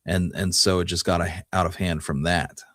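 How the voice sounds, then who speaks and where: A man with a low-pitched voice co-hosts a history podcast. He talks in a casual storytelling way, feeling out his phrasing as he goes. The sound is dry, with very little room to it. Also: flat delivery, moderate pace, fairly bright tone, no echo. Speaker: podcast co-host.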